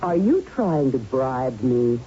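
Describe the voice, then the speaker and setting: A woman's voice: rumbling low, big pitch swings, takes it slowly, warm tone, heavily acted. An actress playing an earnest, principled young female plant doctor in a radio drama, speaking in a firm, formal, precise manner. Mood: indignant, resolute. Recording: muffled, faintly noisy old radio recording.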